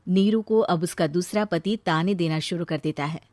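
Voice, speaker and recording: A woman's voice: fairly low pitch, moderate pace, darkish tone, no room sound. A woman narrating a scripted, dramatised story as its storyteller.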